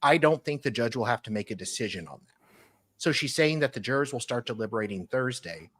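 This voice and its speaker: A man with a rough, husky voice at an average pitch, co-hosting a talk show. He discusses things casually and reads messages out loud. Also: expressive, fast. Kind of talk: casual discussion, reading emails aloud.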